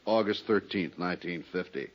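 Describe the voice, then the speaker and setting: A man's voice: sits low, normal speed, dull audio, natural voice. An actor playing a hearty, matter-of-fact insurance office man in an old-time radio drama, with an American accent and a folksy, businesslike manner.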